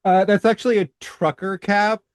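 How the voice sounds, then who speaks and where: A middle-aged man co-hosting a casual podcast, speaking in halting phrases with many restarts and stammers. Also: sits high, steady tone, no echo, clean studio sound. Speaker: podcast co-host. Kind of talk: casual chat.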